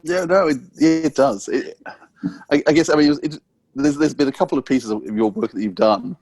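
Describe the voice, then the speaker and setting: A man with a medium-pitched voice hosts an interview in a dry, close recording. He feels his way through sentences, halting and rephrasing as he goes. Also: a little mellow, dry recording. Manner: tentative, halting, self-correcting. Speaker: podcast host and interviewer. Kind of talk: conversational interview.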